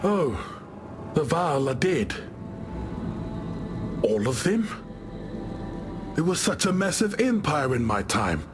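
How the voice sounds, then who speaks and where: A man playing a talkative ancient warrior spirit in a video game, wry and expressive. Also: fairly high pitch, slow, pauses often, over music. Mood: unsettled. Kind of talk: scripted game character dialogue.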